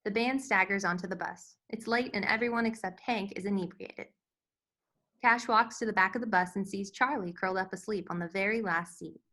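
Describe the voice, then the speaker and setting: A woman's voice: mid-range voice, a little fast, pushed and bright. A woman reading scripted stage directions as the narrator of a screenplay table read. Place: virtual table read over video call.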